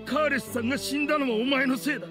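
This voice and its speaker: An actor playing a bitter, vengeful middle-aged man in an anime game, speaking with rough, contemptuous, theatrical accusation. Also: extremely high pitch, steady tone, rapid-fire, over music. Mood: anguished accusation. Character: bitter, vengeful man in a grudge-driven confrontation.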